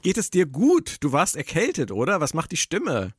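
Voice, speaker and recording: A man's voice: a little high, wildly swooping pitch, normal speed, slightly rough, no room sound, studio quality. Chatty man hosting a podcast. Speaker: podcast host. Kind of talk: casual podcast chat.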